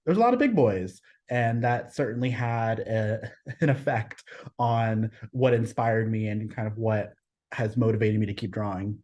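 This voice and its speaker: A man from Texas, a guest artist on a podcast, talking casually and thoughtfully, often pausing to find his words. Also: lowish voice, darkish tone. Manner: thoughtful, searching for words, frequent restarts.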